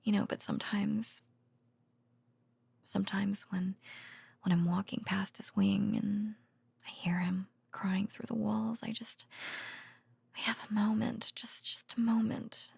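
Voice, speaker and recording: A woman playing a tender, worn-out researcher girlfriend in an intimate bedtime audio roleplay, speaking haltingly and drifting into whispers. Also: medium pitch, little pitch movement, gravelly, darkish tone, slightly rough, dry recording, exaggerated voice. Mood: wistful, heavy.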